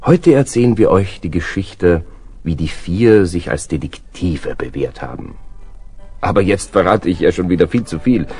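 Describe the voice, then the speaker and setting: A man playing a playful, chatty storyteller, narrating a children's audio play in a husky, confiding way. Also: sits low, animated, normal speed, mellow, faint music behind. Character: playful, chatty male storyteller introducing animal detectives.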